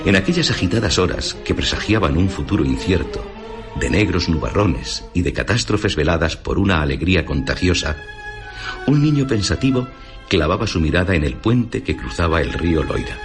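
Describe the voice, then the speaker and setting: Male radio presenter, very low voice, animated intonation, Castilian Spanish accent, measured dramatic storytelling. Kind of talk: scripted literary radio narration. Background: music behind the voice.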